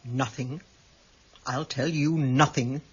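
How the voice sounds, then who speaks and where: An actress playing a bitter, coldly controlled female kidnapper with a husky voice, venomous and defiant, in a radio drama. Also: rumbling low, steady tone, muffled recording, full character voice. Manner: venomous, deliberate. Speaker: actor in a radio crime drama. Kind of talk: 1990s British radio drama acting.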